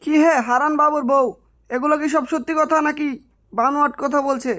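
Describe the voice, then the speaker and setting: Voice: high-pitched, steady tone, brisk, fairly bright tone, no echo, studio quality. A performer voicing a stern, bossy male village headman, authoritative and threatening, in a comic Bengali drama style.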